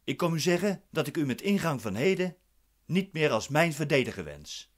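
A man's voice: on the high side, very animated, normal speed. A man playing a proud, impatient accused man in a radio drama, curt and formal in manner. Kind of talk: radio drama acting in Dutch.

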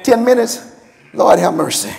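High-pitched, gravelly older preacher man. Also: very animated, a little slow, a little mellow. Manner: impassioned, emphatic, with repeated calls to the congregation. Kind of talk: sermon. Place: large church sanctuary, at a pulpit. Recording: church hall with long reverb.

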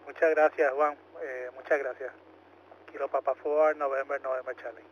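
A man's voice: mid-range voice, flat delivery, normal speed. A polite man checking in as an amateur operator over two-way radio. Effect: two-way radio transmission. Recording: muffled radio with loud static and clicks.

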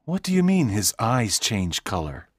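An earnest teenage boy, voiced by a man in a dramatized story. His pitch moves in a lively way as he explains things eagerly. The recording is close and dry. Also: normal speed, no echo. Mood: surprised, doubtful. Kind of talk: dramatized audiobook dialogue.

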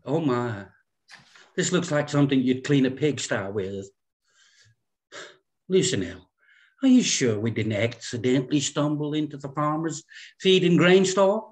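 A man's voice: medium pitch, expressive, lightly acted. Snooty small-town matron in her fifties, played by a man, condescending and sugary, for a comic screenplay read. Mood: sneering. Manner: condescending, drawn-out genteel delivery. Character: snobbish, fussy fifty-year-old small-town society woman, falsely sweet. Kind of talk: script table read. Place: indoor room at home, on a video call.

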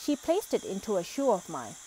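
A woman reading a children's picture book aloud, expressive and lively in the exclamations. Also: medium pitch, brisk, a little hiss or hum, rough recording. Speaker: storybook reader.

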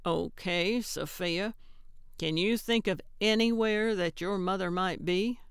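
A woman's voice: medium pitch, takes it slowly, smooth, dry recording, clean studio sound. A woman voicing a brusque, cranky older woman in an audiobook, snappish and blunt but well-meaning. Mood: brusque, probing. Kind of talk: audiobook character acting.

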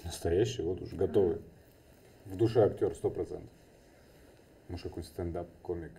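A man's voice: low voice, fairly even intonation, fairly quick, vocal fry, warm tone, a little hiss or hum. A man in his thirties giving casual, speculative commentary as a studio panelist. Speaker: studio commentator on a dating show. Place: indoor TV studio.

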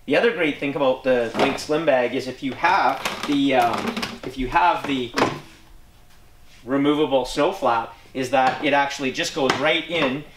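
A man's voice: medium pitch, unhurried, background noise. Male presenter, 40s, casual and upbeat product demo, lots of fillers, roomy indoor sound. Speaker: snowmobile gear dealer presenter. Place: indoor showroom beside a snowmobile. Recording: echoey indoor room.

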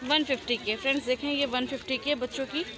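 High-voiced woman touring a crowded market. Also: fairly even intonation, quick pace. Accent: Pakistani Urdu. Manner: chatty, asks sellers prices. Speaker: vlogger. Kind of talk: market tour vlog. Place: busy outdoor street market. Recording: crowded outdoor market noise. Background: crowd chatter and traffic.

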